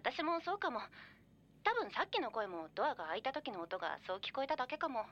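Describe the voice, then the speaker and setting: A woman's voice: sits high, big pitch swings, quick pace, fairly bright tone, slight background noise, exaggerated voice. An actress playing a caring, hesitant young woman, a worried best friend on the phone in a Japanese horror radio drama. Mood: concerned. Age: young adult. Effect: telephone voice filter. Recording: band-limited, phone-call sound.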